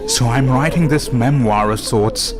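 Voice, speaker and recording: A man narrating a scripted horror story in a deliberate, suspenseful way with dramatic pauses. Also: mid-range voice, expressive. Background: music under the voice.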